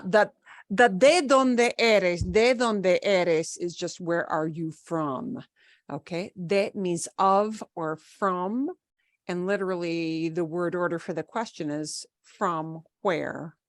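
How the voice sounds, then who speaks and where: Unhurried woman teaching an online class. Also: fairly low pitch, dry recording, clean studio sound. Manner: casual, explaining with fillers. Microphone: video call.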